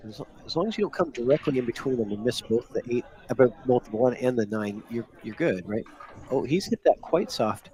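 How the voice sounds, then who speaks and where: A man's voice: mid-range voice, expressive, brisk, mellow, rough recording. A man co-commentating a pool match in an easygoing, chatty way, often restarting his sentences. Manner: easygoing, hesitant, many restarts. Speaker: stream commentator.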